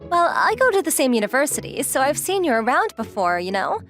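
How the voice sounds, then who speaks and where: A woman's voice: high-pitched, sing-song, fairly quick, a bit bright. A woman playing a confident young model and student in an anime-style dub, teasing, forward and casually inquisitive. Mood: curious, casual. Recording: music behind the voice.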